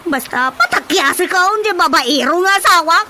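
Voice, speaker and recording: A high, emphatic female voice acted by a woman plays a wronged wife who is fed up with her womanizing husband. She complains in radio comedy drama style. The recording has clear background noise. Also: expressive, normal speed. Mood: indignant.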